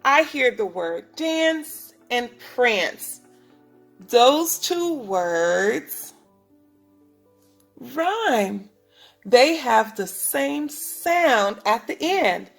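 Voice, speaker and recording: A woman teaching preschoolers, reading a picture book aloud in an instructive way, speaking clearly to children and asking them questions. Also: on the high side, big pitch swings, drawn-out words, faint music behind. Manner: instructive, asks the listeners questions, enunciates for children. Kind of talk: read-aloud lesson for young children.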